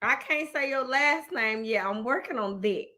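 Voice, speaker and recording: A woman in her thirties hosting a casual chat show, excitable and chatty, laughing often and using slang. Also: sits high, moderate pace. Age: 30s. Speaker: host.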